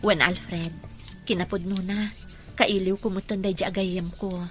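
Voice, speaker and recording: A woman's voice: mid-range voice, fairly even intonation, moderate pace, soft background music, lightly acted. A woman with an Ilocano accent playing an earnest, sentimental young woman in an audio drama. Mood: earnest, worried.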